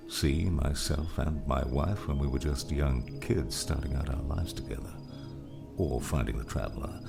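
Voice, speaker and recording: A man voicing a gruff older mountaineer mentor in an audiobook, gravelly, wry and musing. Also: full character voice. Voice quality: gravelly, very deep.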